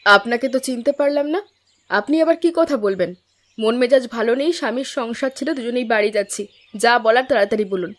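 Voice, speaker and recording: A woman's voice: fairly high pitch, fast, close to an everyday voice. A woman voicing a defiant, short-tempered village wife in a cartoon, blunt and challenging. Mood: testy. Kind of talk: cartoon voice acting. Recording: music behind the voice.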